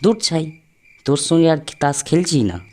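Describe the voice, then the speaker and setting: A man's voice: a little high, expressive, moderate pace, a little mellow, lightly acted. A man playing a chatty, teasing young man on holiday with friends in an audio story, talking in exclaiming colloquial phrases.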